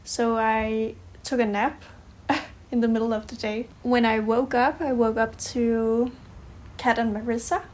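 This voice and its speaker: A woman's voice: a little high, fairly even intonation, measured pace, darkish tone. A twenty-eight-year-old Danish woman with a Danish accent, speaking English as a second language in a casual chat to camera, hesitant with ums and uhs. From Denmark. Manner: hesitant, fillers like um and uh, laughs between phrases. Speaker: vlog roommate.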